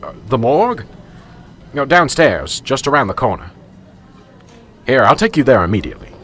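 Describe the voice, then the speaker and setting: A man's voice: medium pitch, very animated, normal speed, fairly bright tone, a little hiss or hum. A man acting in a radio drama as a flustered small-town police sergeant, apologetic, hesitant and eager to please.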